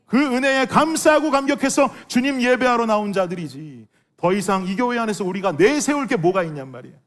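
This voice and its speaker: A middle-aged man with a hoarse, worn voice, preaching an earnest, emphatic sermon as a pastor and putting rhetorical questions to his congregation. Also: high voice. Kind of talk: Sunday sermon with personal testimony.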